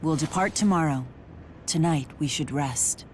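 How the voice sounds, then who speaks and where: A woman playing a resolute, caring young warrior woman in a video game, speaking in a measured, earnest way. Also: low-pitched, darkish tone, slight background noise. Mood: calm. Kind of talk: dubbed video game cutscene acting.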